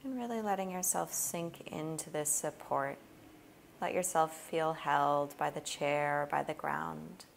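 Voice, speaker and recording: A woman guides a body scan meditation in a fairly low voice. There is a clear vocal fry in her speech. She gives each gentle instruction without hurry and leaves long pauses between phrases. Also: fairly even intonation, slight background noise.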